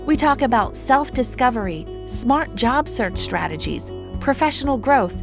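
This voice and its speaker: A woman hosting a career podcast, reading a measured scripted introduction and then asking interview questions. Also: on the high side, very animated, gravelly, over music. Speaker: podcast host.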